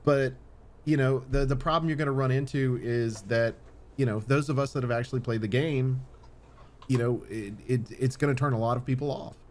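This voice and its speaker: A man's voice: medium pitch, fairly even intonation, a little fast, slight background noise. A man hosting a podcast in casual, opinionated chat, rambling and circling back over his points with casual swearing. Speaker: podcast host.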